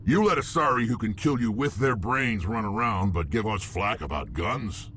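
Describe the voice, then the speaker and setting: An actor playing a gruff, hot-tempered squad fighter in a video game. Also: male voice, fairly low pitch, animated, normal speed, a bit bright, a little hiss or hum. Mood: indignant.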